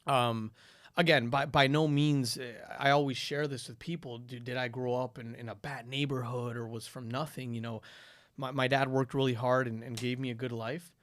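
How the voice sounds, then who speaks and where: A man's voice: mid-range voice, moderate pace, dry recording. A young man from Ecuador, a podcast guest telling stories casually with lots of "like" and "dude". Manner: animated storytelling, frequent "dude" and "like", many restarts.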